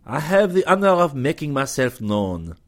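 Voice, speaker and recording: A man's voice: on the high side, big pitch swings, moderate pace, voice pushed up. Man voicing a polite French space captain, flowery, ironic, lifted voice, storybook reading. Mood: courtly, ironic. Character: gracious, sly French male starship commander.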